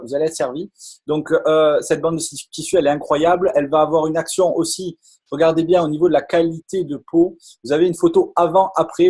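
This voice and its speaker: A man giving an enthusiastic live online sales pitch, full of hesitations and repeated words. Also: a little mellow, breathy edge.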